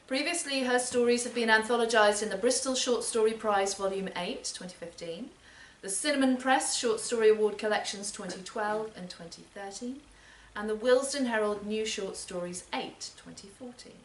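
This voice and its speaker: A woman's voice: fairly high pitch, moderate pace, few pauses. Female literary-event host delivering prepared introductions, recorded at a distance in a reverberant space. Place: performance space in a library centre. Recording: roomy, distant mic, background noise.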